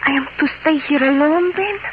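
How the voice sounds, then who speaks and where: A tinny old radio recording with faint noise carries an actress as a passionate wife. Her high voice is theatrical and emotional, imploring and desperate with love. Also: normal speed, lightly acted. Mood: fearful, searching. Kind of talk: old-time radio melodrama acting.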